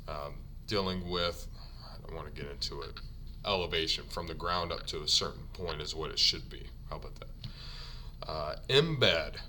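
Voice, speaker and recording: Slow, deep-voiced man teaching trade words. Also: pushed and bright, a little hiss or hum. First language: English. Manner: repeats each term slowly for learners. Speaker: ironworker union instructor. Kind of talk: slide-based vocabulary lesson.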